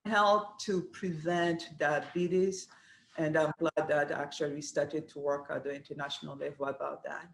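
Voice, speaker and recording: A middle-aged woman speaks in an earnest, measured way, often pausing with small fillers. She sounds like a guest answering questions about her career. Her voice carries a roomy echo. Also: low voice, fairly even intonation, creaky, slight background noise. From Rwanda. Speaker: dietetics program director, guest. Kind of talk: interview answers. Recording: echoey room.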